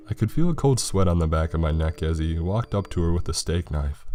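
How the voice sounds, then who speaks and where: A man's voice: low voice, a little mellow. A man reading a scripted horror story as its narrator. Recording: music behind the voice. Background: background music.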